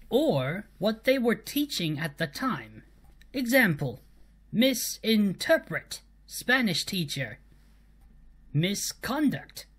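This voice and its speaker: A woman narrating a humorous scripted story as a storyteller, with deliberate emphasis on the punchlines. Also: mid-range voice, breathy edge.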